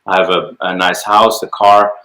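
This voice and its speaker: A middle-aged man with an Israeli accent talks in a measured, modest way with a fairly low voice. A native Hebrew speaker, he chats easily as an interview guest. The room rings with noticeable echo. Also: little pitch movement, sharp tone. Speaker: music teacher, guest. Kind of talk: casual interview chat. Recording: echoey room.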